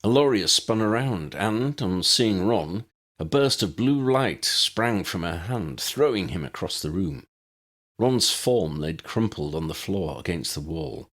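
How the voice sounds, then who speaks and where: A man's voice: sits low, lively intonation, a little slow, fairly bright tone. A man reading scripted fantasy fiction as an audiobook narrator.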